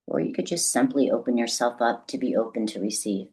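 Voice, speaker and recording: A woman with a notably deep, low voice guides a meditation. She speaks gently and deliberately, leaving long pauses between phrases. Her delivery is soothing, calm instruction. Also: husky, rough recording.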